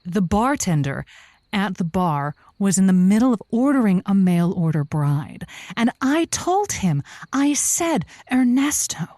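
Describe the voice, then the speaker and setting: Female, actress playing flamboyant flirt of a comedic audio-drama host; mid-pitched, very dry close sound, grandly suggestive delivery. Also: very animated, measured pace, darkish tone, no echo. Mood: grandly romantic. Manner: theatrical, suggestive, self-dramatizing. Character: flamboyant, flirtatious woman advice host spinning tall romantic tales.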